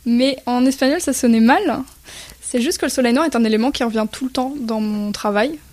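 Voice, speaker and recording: A woman from Spain speaks as a guest artist in a radio interview, her voice fairly high. She answers thoughtfully, stopping with fillers as she searches for words. The recording is dry and close. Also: brisk, darkish tone, no echo.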